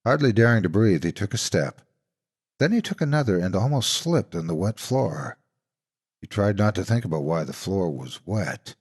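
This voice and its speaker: A man narrating scripted stories as a horror narrator, deliberate and dramatic in his delivery. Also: deep voice, big pitch swings, a little mellow, husky. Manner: deliberate, suspenseful storytelling.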